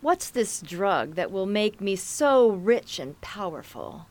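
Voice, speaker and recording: A woman playing a skeptical female crime boss in a radio drama, sharp-tongued and suspicious. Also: mid-range voice, big pitch swings, takes it slowly. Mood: testy, skeptical.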